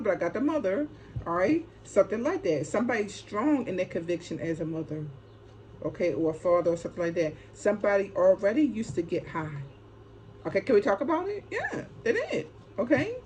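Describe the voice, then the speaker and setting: A woman's voice: mid-range voice, dark tone. Woman giving a card reading, noisy background. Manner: emphatic, repetitive, keeps checking in with short tags. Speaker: tarot reader and channel host. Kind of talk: unscripted intuitive card reading, casual spiritual talk. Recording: clearly noisy ambient background.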